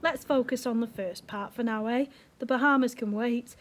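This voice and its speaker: A woman playing a devoted, reassuring 1940s wife in an audio drama, soothing and gently teasing. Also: fairly high pitch, moderate pace, slight background noise, close to an everyday voice. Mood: affectionate, teasing. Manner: soothing, encouraging.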